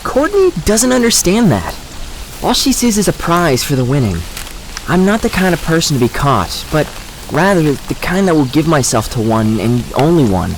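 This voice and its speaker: Man acting a self-assured young man, lively intonation. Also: fairly high pitch, normal speed, slight background noise, poor audio. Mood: earnest. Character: self-assured young man, teasing, earnest about loyalty. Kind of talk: audio drama acting.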